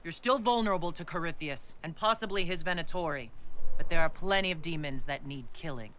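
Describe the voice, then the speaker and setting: A resolute young leader with a bright voice. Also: male voice, on the high side, brisk. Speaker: leader of the Inquisition. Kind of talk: video game voice acting.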